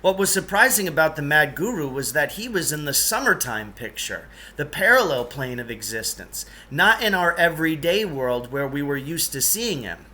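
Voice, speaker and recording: A man's voice: a little high, expressive, moderate pace, few pauses, sharp tone. A man reading a children's novel aloud as narration.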